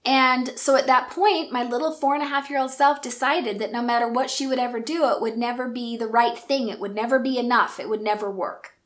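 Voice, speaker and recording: Earnest woman in her forties, fairly high voice. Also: fairly even intonation, fairly quick, hardly any pauses. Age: 40s. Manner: earnest, reflective, gentle. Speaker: speaker and coach. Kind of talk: personal storytelling talk.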